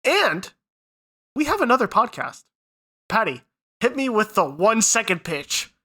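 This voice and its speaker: A man's voice: sits high, very animated, a little slow, pushed and bright. A man hosting a casual podcast chat, sniffly from a head cold, upbeat and running the intros and plugs. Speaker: podcast co-host.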